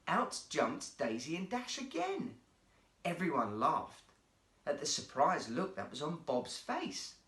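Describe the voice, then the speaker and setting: A man reading a children's story aloud as an expressive storyteller. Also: a little high, takes it slowly, echoey room. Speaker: guest storyteller, actor.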